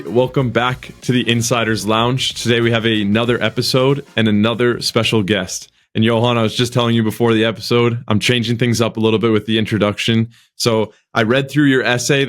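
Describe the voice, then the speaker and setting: A man's voice: fairly low pitch, a bit bright. Rambling male podcast host. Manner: rambling, repeats words while framing questions. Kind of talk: casual interview hosting.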